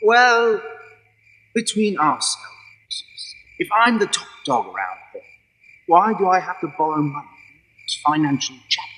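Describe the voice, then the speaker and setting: A chatty, boastful Roman emperor , acted by a man, talks like an ordinary bloke. His voice is bright, lively and high, ringing in a long reverb. It is comic radio-play acting. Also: measured pace, long pauses, a little hiss or hum, exaggerated voice. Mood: boastful, puzzled.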